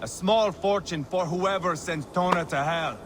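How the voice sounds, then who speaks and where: An actor playing a brash, violent Viking warrior in a video game, with a mocking, blunt and provocative manner. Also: male voice, high-pitched, measured pace, in a large hall, background noise. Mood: aggressive. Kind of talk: video game cutscene acting.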